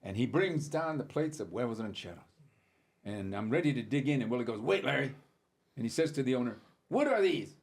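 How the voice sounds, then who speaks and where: A man's voice: medium pitch, animated, fairly quick. An older man, a comedian guest on a podcast, telling anecdotes in a dry, matter-of-fact way and acting out the people in his stories. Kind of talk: casual podcast storytelling.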